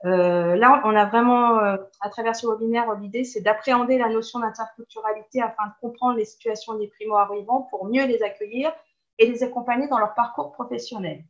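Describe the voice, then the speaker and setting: A woman moderating a webinar, giving a measured formal introduction. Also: fairly high pitch, steady tone. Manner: measured, with fillers. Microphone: video call. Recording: dull lo-fi audio.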